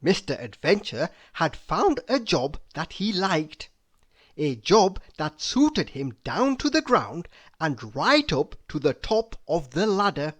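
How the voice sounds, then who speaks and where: Someone reading a kids storybook out loud, really slow, lots of up and down, drags out the important words. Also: medium pitch. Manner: deliberate, expressive storytelling with drawn-out emphasis on key words. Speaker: storybook reader. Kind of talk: read-aloud children's storybook narration.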